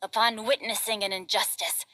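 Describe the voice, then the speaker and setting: A woman playing a bookish, eloquent young gentleman in a video game, speaking formally and theatrically. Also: mid-range voice, steady tone, moderate pace, dry recording, close to an everyday voice. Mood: knowing, calm. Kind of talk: video game character acting.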